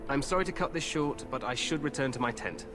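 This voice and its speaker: A man's voice: medium pitch, animated, fast, over music, natural voice. An actor playing a cheerful, overconfident young human king, speaking in a hearty, regal way.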